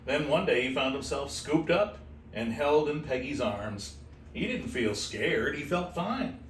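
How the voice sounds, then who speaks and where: Male storybook reader, expressive delivery with informal asides; mid-pitched, roomy sound with faint noise. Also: unhurried. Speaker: host reading a children's book aloud. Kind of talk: expressive storybook read-aloud with casual asides. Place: animal shelter room with kittens. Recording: echoey room, distant mic, faint noise.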